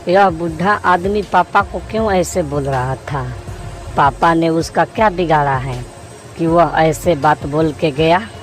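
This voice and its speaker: Cartoon casting: worried little child voiced by a man, raised pitch, innocent questioning, slow delivery. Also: soft background music. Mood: puzzled, worried. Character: puzzled, worried young village child. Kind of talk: cartoon moral-story character acting.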